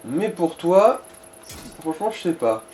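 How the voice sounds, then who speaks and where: A man's voice: fairly high pitch, sing-song, measured pace, darkish tone. A man giving casual, blunt game commentary and advice to a player, with crude slang. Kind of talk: casual let's play commentary. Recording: light background noise.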